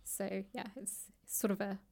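Female guest, British accent, average pitch, casual conversational delivery. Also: steady tone, a little slow, gravelly, mellow. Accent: British English. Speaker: guest, author and actor. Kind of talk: casual interview chat. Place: indoors in a public library room.

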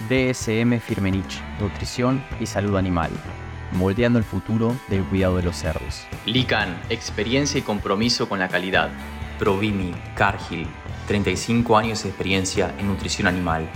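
A man reading a scripted podcast intro and sponsor list in a smooth announcer manner. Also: fairly low pitch, moderate pace. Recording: voice over guitar music.

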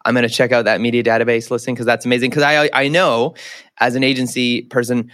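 A man hosting a podcast, upbeat and quick to praise his guest in casual chat. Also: mid-range voice, little pitch movement, fairly bright tone, no room sound, studio quality. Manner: enthusiastic, repeats "that's amazing". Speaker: podcast host.

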